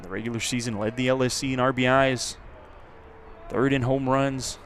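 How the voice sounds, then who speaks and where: A man's voice: medium pitch, moderate pace. A man doing live play-by-play baseball commentary as a radio announcer. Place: outdoor ballpark. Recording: steady background noise at outdoor ballpark.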